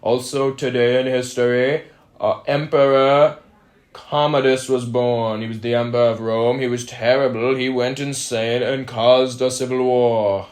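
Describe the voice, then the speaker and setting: A young man co-hosting an amateur mock 1920s radio broadcast, reading scripted news in a measured, stiff, formal way. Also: medium pitch, level tone.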